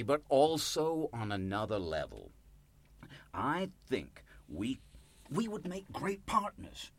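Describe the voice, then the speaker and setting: A desperate, sardonic man in a radio play. His voice is forceful and sharp, swinging widely in pitch as he presses and manipulates. The sound is very dry, with no room to it. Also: no room sound, full character voice. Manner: insistent, sardonic, emotionally volatile. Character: desperate, bitter man pleading and manipulating his pastor for money.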